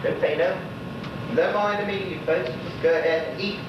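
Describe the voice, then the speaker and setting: An actor playing a lonely, gossipy old widower in a stage monologue, with a Bermudian accent and a rambling, exclaiming way of talking to unseen listeners. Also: male voice, high-pitched, steady tone, moderate pace, natural voice. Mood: chatty, nostalgic. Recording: very echoey stage, distant mic, noisy, dull.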